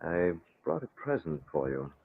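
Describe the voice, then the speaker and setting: Masked crusader, deep, brisk, commanding, 1940s radio drama, narrow phone-like sound. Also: male voice, very animated, vocal fry, slightly rough, exaggerated voice. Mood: stern. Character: masked vigilante and newspaper publisher, brisk, commanding man. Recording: muffled phone-like old radio recording.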